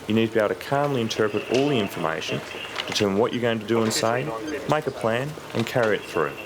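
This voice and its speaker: An Australian man working as an air traffic controller, explaining his job in a measured, matter-of-fact way. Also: fairly low pitch, a crowd in the background, low-quality recording. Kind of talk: career profile narration with radio phraseology.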